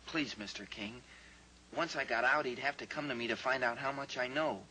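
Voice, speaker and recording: An actor playing a scared, defensive young ranch son in a 1950s radio drama, hesitant and defensive. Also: male voice, medium pitch, a little fast, bright, forceful tone, slight background noise, low-quality recording. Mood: pleading.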